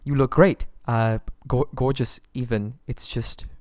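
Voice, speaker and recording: Man acting a halting, timid captive prince. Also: mid-range voice, steady tone, darkish tone, no room sound, studio quality, lightly acted. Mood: flustered, placating. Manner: halting, stammering, pleading. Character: nervous, submissive, polite young prince held captive. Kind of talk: ASMR roleplay audio drama.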